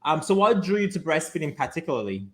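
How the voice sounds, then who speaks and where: Man, fairly high voice, enthusiastic host, affirming, video call. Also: big pitch swings, fast talker. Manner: warm-spoken, enthusiastic, affirming interviewer. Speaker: physician host of a weekly live show. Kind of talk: casual live interview.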